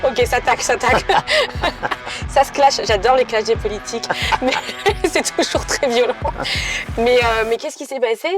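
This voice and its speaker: Woman, interviewer, from southeastern France, fairly high voice, chatty, enthusiastic, informal. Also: normal speed, over music. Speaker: interviewer, influencer host. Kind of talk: casual interview chat.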